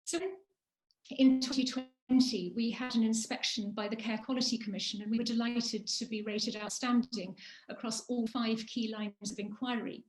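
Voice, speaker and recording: A woman with a fairly high voice gives a prepared talk over a video call, leaning on her key points with firm emphasis. The room around her sounds echoey and reverberant. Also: steady tone, moderate pace, soft background music. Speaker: charity executive speaking on a panel. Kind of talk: prepared webinar presentation.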